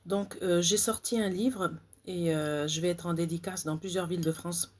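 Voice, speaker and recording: A woman who grew up in Côte d'Ivoire, an author talking straight to her viewers, indignant and halting, full of fillers and repeated phrases. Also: on the low side, fairly even intonation, darkish tone. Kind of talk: direct-to-camera vlog rant.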